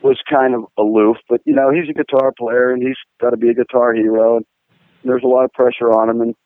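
A man from Pittsburgh, a guitar-playing guest, telling rambling, animated stories with lots of fillers. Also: mid-range voice, fast, dry recording. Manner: rambling, animated, fillers, laughs at own jokes. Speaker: guest musician, guitar player. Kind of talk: casual storytelling interview. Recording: tinny phone-line sound, dry.

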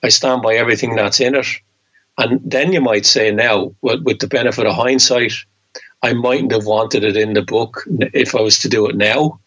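A man's voice: medium pitch, fairly even intonation, normal speed, fairly bright tone. Blunt man ranting in a lo-fi recording. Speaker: podcast host. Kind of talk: casual chat.

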